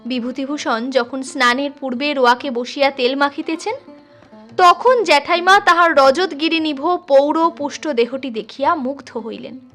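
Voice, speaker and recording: A woman reading a classic short story as a storyteller, in an expressive, measured and dramatic scripted narration. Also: high-pitched, soft background music. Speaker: storyteller reading literary fiction.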